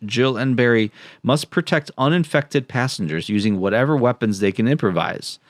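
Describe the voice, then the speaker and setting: A man with a lowish voice speaks in a dry recording with no room sound. He co-hosts a casual podcast, moving between read-out summaries and joking, wry remarks. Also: no echo. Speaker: podcast co-host.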